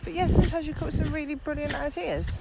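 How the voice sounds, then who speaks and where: A woman's voice: high voice, very fast, vocal fry. Playful woman chatting on a walk. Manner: lively, questioning, playful. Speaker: podcast co-host. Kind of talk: casual walking chat. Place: open grassy field outdoors. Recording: outdoor noise, birds, wind.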